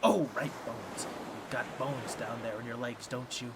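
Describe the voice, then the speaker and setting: A man's voice: medium pitch, fairly even intonation, measured pace, very noisy, close to an everyday voice. A man voicing a boastful, scheming octopus merman with a grating, nasal, squawky voice and a pompous, awkward manner in a comedic audio roleplay. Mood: smug bragging. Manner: theatrical, pompous, fumbling with awkward asides.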